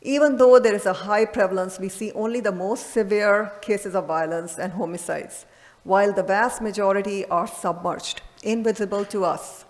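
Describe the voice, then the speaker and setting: A woman's voice: mid-range voice, normal speed. A woman giving an earnest, emphatic medical conference lecture as a presenting radiologist, recorded in a reverberant hall.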